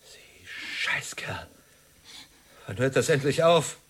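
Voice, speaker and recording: An actor playing a desperate, accusing male hostage in a radio drama, with a rough, husky voice. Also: medium pitch, lively intonation, slow pace, a bit bright, a little hiss or hum. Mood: outraged, desperate. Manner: accusing, agitated. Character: outraged, desperate male hostage confronting a killer.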